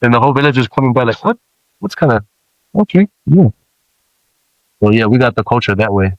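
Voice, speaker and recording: A man in his forties who grew up in Samoa, a rapper guest telling stories in relaxed, casual talk with many fillers. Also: mid-range voice, fairly quick, no echo, muffled recording.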